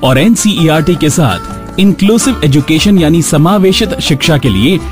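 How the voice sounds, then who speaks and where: A man's voice: on the high side, animated, normal speed. A man with an Indian accent presenting a scripted radio show as its host. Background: background music.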